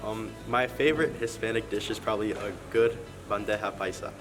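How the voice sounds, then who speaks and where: A man's voice: fairly low pitch, fairly quick, over music. A teenage boy giving short interview answers that open with a hesitant "um". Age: teens. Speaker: interviewed student.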